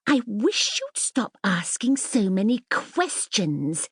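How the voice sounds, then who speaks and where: A woman's voice: medium pitch, sing-song, slow pace, slightly rough, no echo, studio quality, voice pushed up, full character voice. A woman voicing a boastful, mischievous young princess in a grand, bossy, theatrical manner for a children's audiobook. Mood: grand, indignant.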